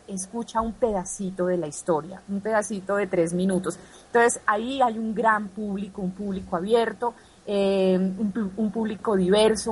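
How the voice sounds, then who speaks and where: A woman with a Colombian accent gives explanatory interview answers at a middle pitch. She lays out her points methodically, like an educator, with some noise behind her. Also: rough recording. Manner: didactic, enumerates points. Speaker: radio archive representative being interviewed.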